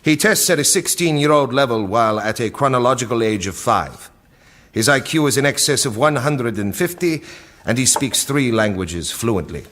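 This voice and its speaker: A man acting in an audio drama as a cold, efficient project director, delivering a briefing in a precise, deliberate way. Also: mid-range voice, expressive, fairly bright tone, natural voice. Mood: matter-of-fact.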